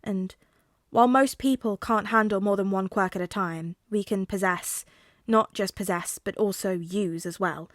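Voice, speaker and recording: A woman playing a guarded father, speaking in a measured, formal way as in an audiobook story. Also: medium pitch, dry recording, studio quality, lightly acted. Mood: hesitant, explaining.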